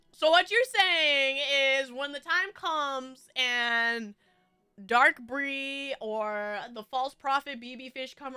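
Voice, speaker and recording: High bubbly giggly woman streamer. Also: bright, forceful tone, clean tone, no echo. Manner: bubbly, rambling, frequent giggles. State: stuffy nose. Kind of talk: casual chat with viewers.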